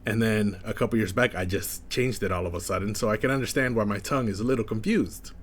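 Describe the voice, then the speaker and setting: A young man with a slight lisp, chatting casually as a first-time streamer in a flat, monotone way with many fillers. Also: fairly low pitch, fast talker, a little hiss or hum. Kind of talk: casual chat.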